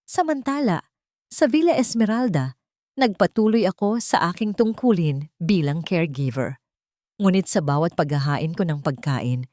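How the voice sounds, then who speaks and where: Female narrator, slightly low register, earnest scripted melodrama read, dry studio-like sound. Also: darkish tone, no room sound. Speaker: story narrator.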